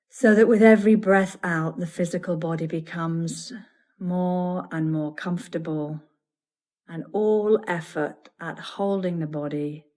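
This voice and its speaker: A woman leading a guided yoga nidra meditation as a teacher, soothing and deliberate, in measured phrases broken by pauses. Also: fairly low pitch, a little mellow.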